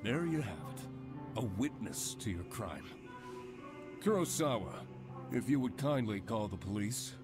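A man's voice: on the low side, expressive, unhurried, pauses often. An actor playing a stern, accusing male store manager in a visual novel, formal and condescending. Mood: cold, authoritative. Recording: music behind.

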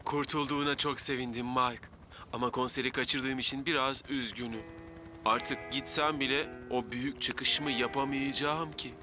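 A man's voice: medium pitch, fairly even intonation, normal speed, pushed and bright, faint music behind, lightly acted. A man voicing an eager, bumbling young firefighter in a dubbed children's cartoon, earnest and easily flustered. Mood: wistful.

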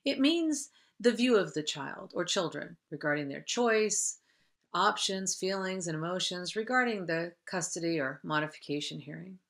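Low-pitched woman presenting formally. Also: expressive, normal speed, vocal fry, fairly bright tone. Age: middle-aged. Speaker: host, counsellor. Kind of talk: scripted presentation.

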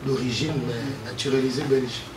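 A man's voice: mid-range voice, steady tone, moderate pace. A man from Congo introducing himself at a meeting, hesitant with many fillers. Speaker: industrial scaffolding inspector in the audience. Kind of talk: self-introduction. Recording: roomy, distant, noisy room.

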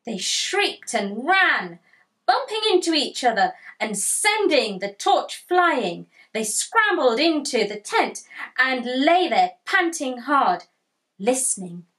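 A woman in her thirties reading a picture book aloud to children, expressive and deliberate, as a storytime host. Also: high voice. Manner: expressive, deliberate, asks listeners questions. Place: small furnished room indoors with bookshelves.

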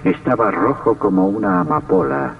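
Gravelly, dramatic old-radio gothic romantic. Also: male voice, medium pitch, very animated, moderate pace, far from the mic. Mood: solemn. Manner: dramatic, declamatory. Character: solemn, ardent man of an old gothic romance. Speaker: narrator and male lead. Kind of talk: old radio melodrama acting and narration. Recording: muffled old radio broadcast, music behind.

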